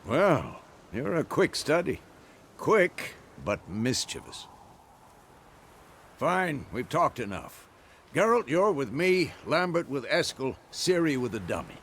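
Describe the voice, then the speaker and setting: An actor playing a gruff, stern old male witcher mentor in a game cutscene. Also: on the high side, takes it slowly, a little hiss or hum, exaggerated voice. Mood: lecturing. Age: older. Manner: stern, grumbling, lively delivery. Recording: game cutscene with loud music.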